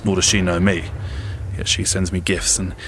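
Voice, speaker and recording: A plain-spoken, weary sergeant from the Great War trenches in a radio play. His voice sits low, with a London accent and blunt soldierly turns of phrase. Clear outdoor noise surrounds him. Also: level tone, moderate pace. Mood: blunt, cynical. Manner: blunt, world-weary, colloquial. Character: gruff, plain-spoken British army sergeant of World War One. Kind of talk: audio drama acting.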